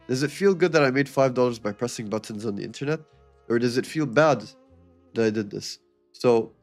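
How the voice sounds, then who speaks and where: A man hosting a live trading stream, explaining charts and answering chat in a casual coaching way, often checking in with "okay?". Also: medium pitch. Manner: reads viewer comments aloud and answers them. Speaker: livestream trading host.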